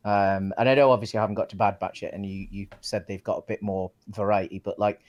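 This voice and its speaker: A man's voice: on the low side, fairly even intonation, fast talker, a little mellow. A man co-hosting a casual film discussion, thinking aloud in hesitant, circling phrases. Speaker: co-host of a film discussion show.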